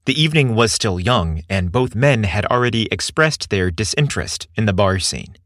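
A man's voice: on the low side, lively intonation, moderate pace, fairly bright tone, dry recording, studio quality. A man reading a scripted story as its narrator.

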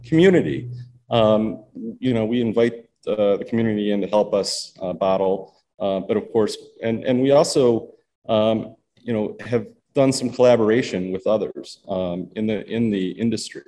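A man who co-owns a distillery, speaking haltingly with many ums in a casual interview. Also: fairly low pitch, mellow. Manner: halting, full of ums and uhs. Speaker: distillery co-owner. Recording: faint background noise.

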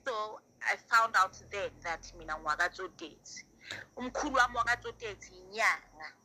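A woman in her late twenties with a squeaky voice, chatting as a guest about being a traditional healer, animated and laughing, mixing English with Zulu. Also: normal speed, rough recording. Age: late 20s. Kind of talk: casual chat.

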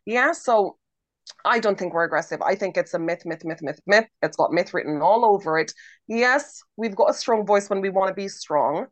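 A woman's voice: medium pitch, lively intonation, normal speed, no echo. Woman podcast co-host, big projecting voice, emphatic and repetitive, dry close mic. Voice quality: big strong voice. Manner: emphatic, repeats words for emphasis. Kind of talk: conversational podcast commentary.

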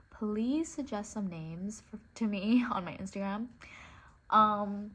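A woman in her twenties from Hong Kong, with an international-school English accent, chatting casually and rambling as she hosts her own podcast from her living room floor. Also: medium pitch. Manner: chatty, lots of fillers and little laughs. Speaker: podcast host.

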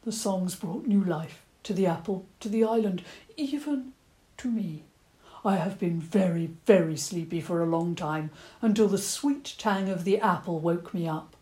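A woman voicing a gentle, courteous old male badger who stammers a little. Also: fairly low pitch, a little slow, lightly acted. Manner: stammering, courteous, slightly eccentric.

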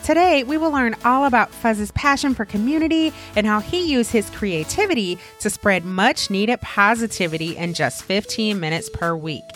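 A woman's voice: mid-range voice, lively intonation, moderate pace, few pauses, fairly bright tone. A woman with a mid-range voice hosts a podcast, upbeat and eager as she presses her guest. Faint music sits under her words. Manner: upbeat and enthusiastic. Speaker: podcast host. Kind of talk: scripted intro, then lively interviewing.

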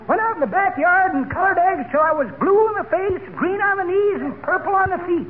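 An actor playing a spry, folksy old American joker in a 1940s radio comedy, with a rustic wisecracking delivery. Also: male voice, extremely high pitch, fairly quick. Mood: chipper. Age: older. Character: spry, folksy old male joker peddling Easter eggs. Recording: tinny, very noisy 1940s radio recording, crowd.

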